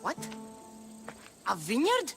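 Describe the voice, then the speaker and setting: A tough, lively Greek-accented mercenary woman. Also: a little high, slow, gravelly, full character voice. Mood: wry, weary. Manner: dry, defiant, sarcastic. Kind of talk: video game cinematic acting. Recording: music bed under dialogue.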